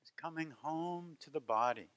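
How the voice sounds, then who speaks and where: A man leading a guided meditation as a teacher, speaking gently in unhurried phrases with long pauses between them. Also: fairly high pitch.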